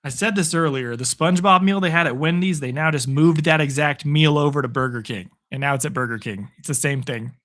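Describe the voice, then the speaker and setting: A man streaming live football reactions, his voice worn hoarse after a long session. He sits a bit higher in pitch than most men and keeps up a chatty, upbeat stream of commentary and replies to viewers. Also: a little fast, hardly any pauses, a bit bright. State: hoarse from hours of talking. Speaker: sports streamer. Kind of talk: live play-by-play and chat reactions.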